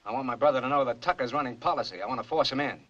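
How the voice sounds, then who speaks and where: An actor in an old film playing a smooth, persuasive racketeer lawyer. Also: male voice, medium pitch, rapid-fire, a bit bright, lightly acted. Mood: curt. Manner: persuasive, coaxing. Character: smooth-talking racketeer lawyer, younger brother. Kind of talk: 1940s film acting. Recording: dull old film soundtrack.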